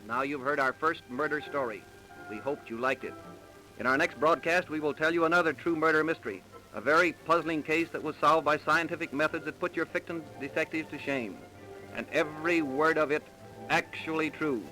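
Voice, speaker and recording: A man's voice: a little high, a little fast, bright, forceful tone, soft background music, low-quality recording. A man delivering scripted announcements in a 1930s radio style. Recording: vintage 1930s radio recording.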